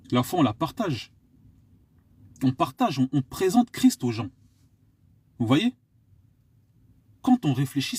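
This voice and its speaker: A man from France delivers an exhorting sermon, hammering home his point with repeated rhetorical questions. His voice is slightly husky and of middle pitch, recorded dry and close with almost no room sound. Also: dark tone, no echo. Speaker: preacher. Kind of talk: live online sermon.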